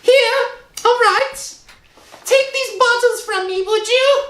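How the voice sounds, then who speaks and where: A woman playing an excitable, worrying working-class wife in an old-time radio drama. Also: very high voice, takes it slowly, slight background noise, heavily acted. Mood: worried. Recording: roomy echo.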